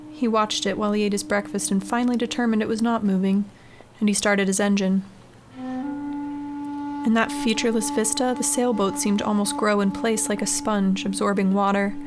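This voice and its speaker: A woman reading a scary short story as a scripted audiobook-style narration. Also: mid-range voice. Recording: clearly noisy background ambience.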